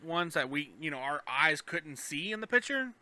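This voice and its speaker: A man's voice: fairly high pitch, very animated, moderate pace, bright, forceful tone. A man chatting casually as a podcast co-host. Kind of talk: casual chat.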